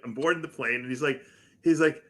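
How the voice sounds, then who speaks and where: Bright, animated male radio host. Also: mid-range voice, brisk. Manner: animated storyteller who acts out other people's voices. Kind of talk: casual talk radio banter.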